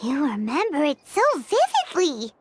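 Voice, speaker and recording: A tiny chirpy fairy girl for a game, extremely high and squeaky. The delivery is exclamatory and swings up and down, over prominent music. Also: wildly swooping pitch, a little slow, exaggerated voice. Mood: excited. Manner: squeaky, exclamatory, speaks in third person. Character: tiny excitable, chirpy female fairy companion. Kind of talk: scripted game dialogue.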